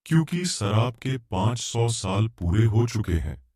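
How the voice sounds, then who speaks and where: A man voicing a cursed king turned into a talking tiger in a cartoon story, speaking in a calm, solemn way as he explains things. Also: mid-range voice, unhurried.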